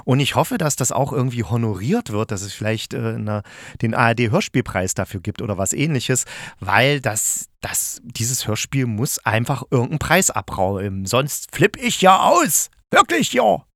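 A man's voice: mid-range voice, big pitch swings, normal speed, a bit bright, dry recording, studio quality. A man hosting a podcast as a critic, giving an enthusiastic, conversational review in a colloquial, emphatic and opinionated way. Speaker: podcast host and radio drama critic.

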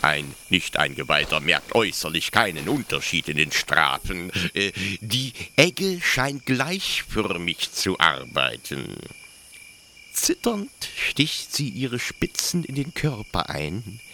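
An actor playing a zealous military officer who explains things eagerly, haltingly and pedantically in a German radio play. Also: male voice, low-pitched, sing-song, pushed and bright, slight background noise, heavily acted. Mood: proud, instructive. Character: zealous male military officer proudly explaining his execution machine.

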